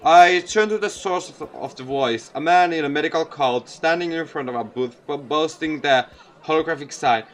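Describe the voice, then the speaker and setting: A man's voice: a little high, normal speed. A man reading a visual novel aloud as a let's player, stumbling over words and adding casual asides over background music. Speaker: video game let's player.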